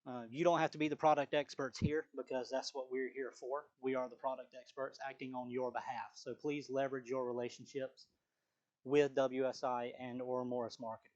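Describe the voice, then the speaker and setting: A man's voice: mid-range voice, flat delivery, a little fast, darkish tone, highs cut off. A man leading a live sales training on a video call, talking casually with frequent fillers and restarts.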